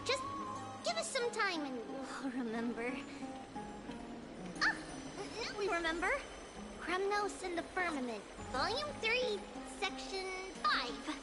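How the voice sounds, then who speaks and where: An actress playing a gentle, playful teacher figure who speaks lightly. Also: female voice, very high-pitched, big pitch swings, takes it slowly, pauses often, exaggerated voice. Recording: game audio over loud music.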